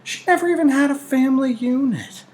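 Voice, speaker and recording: A man voicing a frail, gossipy, slightly prim old woman in a storybook, confiding and animated. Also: very high-pitched, a little fast, pitched above the performer's own voice. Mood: chatty, prim.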